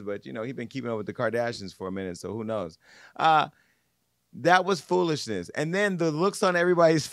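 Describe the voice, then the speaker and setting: A man's voice: on the high side, fairly bright tone, no echo. Dry, close-miked sassy male podcast host. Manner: sassy, mocking, slangy. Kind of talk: casual comedic commentary on viral news. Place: podcast studio. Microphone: close studio microphone on desk.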